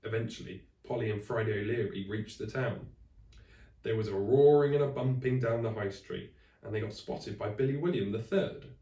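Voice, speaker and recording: A man reading a children's story aloud in expressive narration, like a teacher to a class. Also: fairly low pitch, moderate pace, distant mic. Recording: echoey room, away from the mic.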